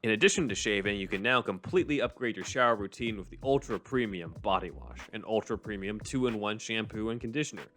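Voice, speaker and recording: Male podcast host, low pitch, explains things in an informal, conversational register. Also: fast talker, few pauses, fairly bright tone. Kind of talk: casual discussion and ad reads.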